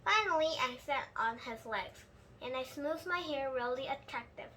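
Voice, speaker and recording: A woman reading a children's book aloud in an expressive storytelling style, with a few slips. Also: high voice, normal speed. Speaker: storybook reader. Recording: clear background noise.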